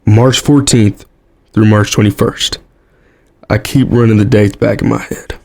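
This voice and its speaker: A weary American president in an audio drama, low-pitched and grave. He is stubborn and resolute, weighed down by guilt. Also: steady tone, unhurried, gravelly, darkish tone. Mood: regretful, bitter.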